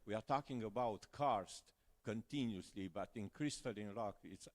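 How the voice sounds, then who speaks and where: A man's voice: fairly low pitch, moderate pace, a little hiss or hum. A man from Romania with a Romanian accent, giving a conference presentation in careful, slightly broken English. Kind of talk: academic conference talk.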